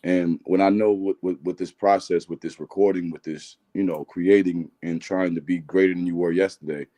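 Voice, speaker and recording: Deep baritone man, guest rapper on a casual talk show, low pitch, relaxed and reflective. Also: moderate pace. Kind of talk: casual interview chat.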